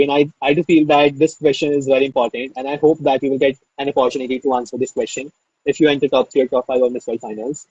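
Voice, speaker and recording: A man hosts a live interview over a video call, gushing with enthusiasm and leaning on fillers. His voice is a bit husky, and the sound is dry and slightly dull. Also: mid-range voice, darkish tone, no room sound. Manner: enthusiastic, repeats "amazing", filler-heavy. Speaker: interview host.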